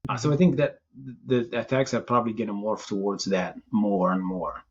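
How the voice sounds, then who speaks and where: A Moroccan-born man whose first language is Tamazight, answering interview questions in a thoughtful, halting way, often restarting his phrases. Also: fairly low pitch, level tone, breathy edge, highs cut off. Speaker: city information security chief, guest.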